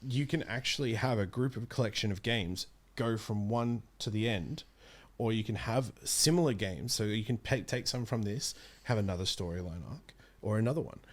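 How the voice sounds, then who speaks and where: A man with a fairly low voice talks casually as a guest in a gaming chat. He explains things in a halting way, rephrasing as he goes. He is close to the microphone in a small room. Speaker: interview guest, amateur voice actor. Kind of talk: casual chat about video games. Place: small indoor streaming room. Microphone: close studio microphone.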